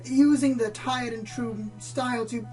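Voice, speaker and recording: A woman's voice: fairly high pitch, fairly even intonation, unhurried, darkish tone, faint music behind, lightly acted. A woman playing a bookish, slightly prim pony book reviewer in a radio play, speaking formally and articulately. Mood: earnest, formal. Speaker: on-air book presenter.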